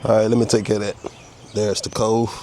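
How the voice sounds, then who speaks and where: Man, low voice, head cold, laid-back workshop how-to talk, faint outdoor sounds. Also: level tone, brisk, warm tone, slight background noise. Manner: easygoing, talks while working, explains each tool. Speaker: custom car restorer demonstrating a repair. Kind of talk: casual step-by-step how-to narration.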